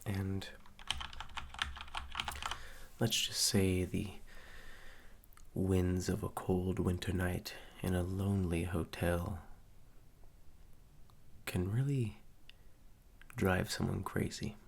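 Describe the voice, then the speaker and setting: Casting: male actor as softly ominous, courteous hotel receptionist, ASMR roleplay; very slow delivery, gravelly fry. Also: little pitch movement, heavily acted. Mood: quietly ominous. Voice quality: soft-spoken, low, gravelly. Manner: slow, measured, hushed, politely eerie.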